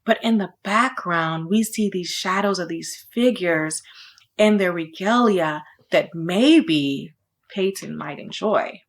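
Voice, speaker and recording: A woman's voice: on the low side, slow pace. A woman reading a children's story aloud, with friendly, casual asides that explain it. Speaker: storybook reader.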